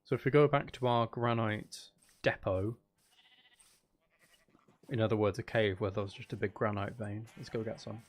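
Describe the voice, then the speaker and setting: A man's voice: fairly low pitch, steady tone, moderate pace, pauses often. A man chatting casually and thinking aloud as he hosts a live game stream, talking to his viewers.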